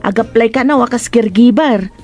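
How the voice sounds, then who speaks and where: A woman's voice: a little high, fairly quick, a little mellow, soft background music, close to an everyday voice. A woman with an Ilocano accent playing a timid, deferential housemaid in a radio drama. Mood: helpful.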